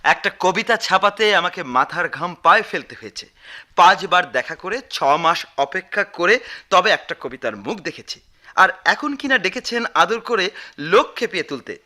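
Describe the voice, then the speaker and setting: A man's voice: high voice, lively intonation, normal speed, sharp tone, full character voice. A man playing a proud, principled, touchy poet in a radio play, polite but pointed. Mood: indignant. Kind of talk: 1990s radio play acting.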